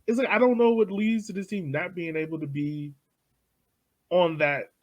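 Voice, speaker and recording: A man co-hosting a casual soccer podcast, thinking aloud in an animated way. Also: high voice, normal speed. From United States. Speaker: podcast co-host. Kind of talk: casual sports chat.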